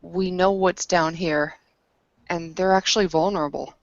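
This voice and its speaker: A woman's voice: lowish voice, fairly even intonation, moderate pace, gravelly, no room sound, lo-fi sound. A woman talking casually as a player in a tabletop roleplaying game.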